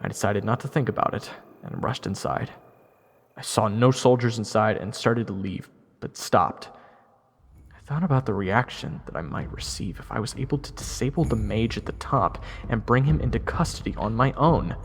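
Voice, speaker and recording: A man's voice: fairly low pitch, normal speed, vocal fry. A man playing a wry, self-deprecating young soldier narrating his fantasy adventure in first person. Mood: excited, suspenseful.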